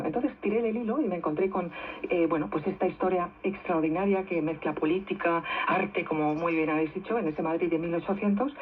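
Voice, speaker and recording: A woman's voice: lowish voice, slightly rough, phone-quality sound. A woman, a novelist guest explaining history in a radio interview, often ending her points with tag questions. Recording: thin shortwave radio reception.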